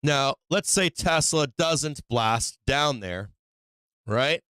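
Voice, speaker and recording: A man's voice: mid-range voice, animated, takes it slowly, pushed and bright, smooth, no echo. A man who is losing his voice from being sick, hosting a casual stock-trading livestream, thinking aloud over charts and tossing in rhetorical "right?"s. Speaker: stock market livestream host.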